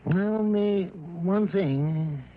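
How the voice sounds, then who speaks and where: An actor playing a folksy, plain-spoken small-town police chief, matter-of-fact, in an old radio drama. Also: male voice, high voice, drawn-out words. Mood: puzzled, matter-of-fact. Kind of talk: 1960s radio drama acting. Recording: dull, hissy old radio recording.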